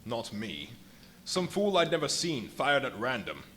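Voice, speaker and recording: A man's voice: medium pitch, huge pitch swings, unhurried, bright, forceful tone, heavily acted. A man in a live radio play, playing an irritable, ravenous, worn-out and grandiose invisible fugitive scientist, with a theatrical, imperious delivery. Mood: irritable, angry. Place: live performance at a brewery venue. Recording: live stage recording with faint noise.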